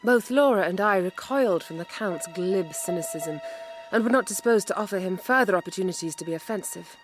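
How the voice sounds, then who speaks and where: Woman acting a sharp-witted Victorian lady, mid-pitched, measured and wry, period drama, faint music underneath. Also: close to an everyday voice. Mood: narrating. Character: shrewd, resolute young Victorian gentlewoman. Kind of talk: period audio drama narration and dialogue.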